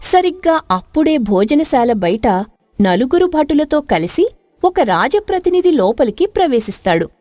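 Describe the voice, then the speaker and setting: A woman's voice: high voice, very animated, unhurried, dark tone, no echo, studio quality, faint music behind. A woman narrating a scripted story in a dramatic storytelling style.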